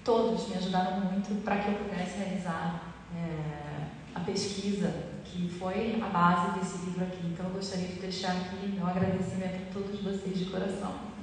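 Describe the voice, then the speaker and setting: A woman's voice: fairly low pitch, fairly even intonation, normal speed. Brazilian woman in a very echoey hall. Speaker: author presenting her book. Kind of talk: public talk, answering questions at a book launch. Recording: very echoey hall, distant mic, faint noise.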